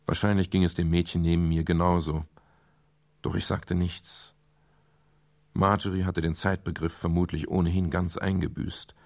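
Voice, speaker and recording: Here is a hard-boiled male private eye in a radio crime drama. His voice is very low and gravelly, with a husky edge. He is dry, laconic and brusque, sardonic about the world around him. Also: a little mellow, no echo. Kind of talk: 1970s German radio crime drama, first-person narration.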